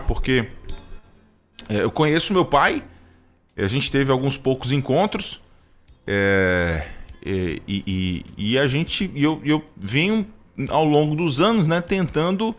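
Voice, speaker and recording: Man, thirties, Brazilian accent, reflective testimony, halting phrasing, very varied intonation, soft music behind. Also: medium pitch. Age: 30s. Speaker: radio show co-host. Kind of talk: personal testimony in conversational talk.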